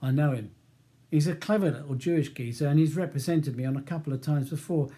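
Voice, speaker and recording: A man voicing a tall, practical Cockney prisoner with a rumbling voice and a plain-spoken, informal manner, in an audiobook. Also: fast talker, warm tone, natural voice. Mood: approving. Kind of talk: audiobook character dialogue.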